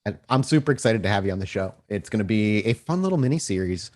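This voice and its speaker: A man's voice: on the low side, expressive, quick pace, darkish tone. A man speaking casually as the host and storyteller of a live-streamed tabletop game.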